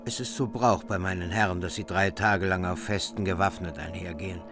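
A man playing a grim, proud medieval warrior in a radio play, speaking coldly and deliberately with formal phrasing. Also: deep voice, fairly even intonation, music in the background, lightly acted. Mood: curt, guarded.

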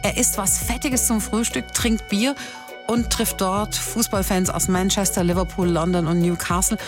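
A woman presenting a radio music feature, telling personal stories in a lively, chatty way. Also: medium pitch, moderate pace. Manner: lively storytelling, chatty asides. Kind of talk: personal scripted narration.

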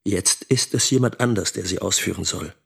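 A man's voice: sits low, normal speed, creaky, fairly bright tone, slightly rough, full character voice. An actor playing a lonely, bitter middle-aged teacher and father in a reflective radio drama monologue.

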